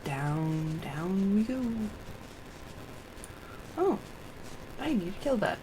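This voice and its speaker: Woman, game streamer, casual commentary, lowish voice, slow pace, faint background noise. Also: very animated. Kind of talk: casual live gameplay commentary with read-aloud dialogue.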